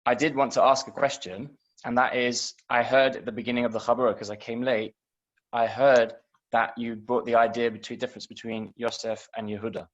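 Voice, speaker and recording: A man's voice: medium pitch, little pitch movement, a little fast, muffled recording. A man introducing himself and asking a question in an online class, rambling and hesitant, on a video call. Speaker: class participant.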